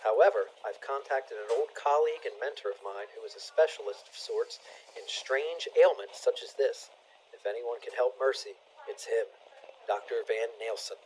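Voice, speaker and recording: A man's voice: medium pitch, normal speed, darkish tone, slight background noise, lightly acted, rough recording. A man playing an earnest, formal hospital doctor with melodramatic delivery in a comic audio play. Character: Doctor Rob Sewer, earnest, lovestruck male hospital doctor.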